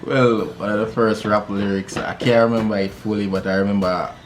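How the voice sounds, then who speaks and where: A Jamaican man, a musician, telling his story in a relaxed interview, with many fillers and easy laughs. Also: on the low side, fairly quick, background noise. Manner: laid-back, many fillers, laughs easily. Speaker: musician guest.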